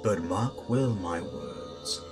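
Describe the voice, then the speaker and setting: A stern, menacing Sith master, acted by a man, speaks in a low voice and very slowly, with grandiose weighted pauses. It is a dramatic audio roleplay, with music clearly playing underneath. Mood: grave, instructive. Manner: deliberate, grandiose, pausing for weight. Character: stern, menacing male Sith master teaching his apprentice. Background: loud background music.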